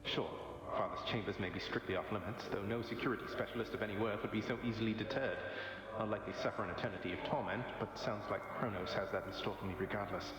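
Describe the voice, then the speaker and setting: A man's voice: on the low side, steady tone, fast talker, hardly any pauses, natural voice. A man playing a cocky young underworld prince in a video game, with a dry, sardonic, casually eloquent delivery. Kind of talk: video game drama acting. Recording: echoey hall with long reverb.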